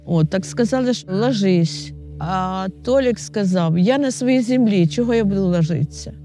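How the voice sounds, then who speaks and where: A woman's voice: medium pitch, lively intonation, moderate pace, warm tone, faint music behind. A woman with a Ukrainian accent, a villager giving an emotional interview about the occupation, close to tears. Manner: agitated, on the verge of tears.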